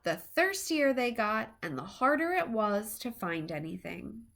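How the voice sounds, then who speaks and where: A woman reading a children's story aloud in an expressive, deliberate storytime style. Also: high-pitched. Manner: deliberate, animated delivery for young children.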